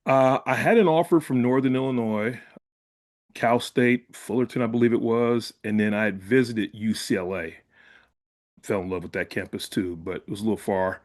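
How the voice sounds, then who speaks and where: A man in his fifties from Ohio, a former college fullback, telling stories in a relaxed, reflective way as a guest in an interview. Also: lowish voice, moderate pace. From Columbus, Ohio. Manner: relaxed, reflective storyteller with frequent "like". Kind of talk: casual interview storytelling.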